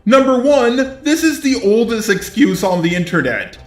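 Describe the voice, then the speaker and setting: A man narrating scripted online commentary in a deliberate, sardonic manner. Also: very high-pitched, sing-song. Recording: roomy echo, faint music. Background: faint music.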